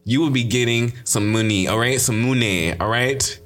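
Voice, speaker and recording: A man's voice: mid-range voice, normal speed, fairly bright tone, faint music behind. A young man with a slight lisp hosting his own podcast, talking in a sassy, emphatic way and repeating his catchphrases. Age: young adult. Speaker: podcast host. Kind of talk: casual solo podcast intro.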